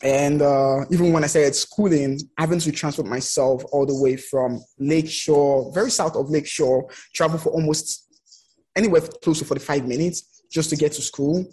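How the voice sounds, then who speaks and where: A man with a raspy edge to his voice and a somewhat raised pitch speaks as an interview guest. He tells personal stories in a conversational way, slipping into quoted remarks to show what people said. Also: moderate pace. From outside the United States. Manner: animated retelling with quoted remarks.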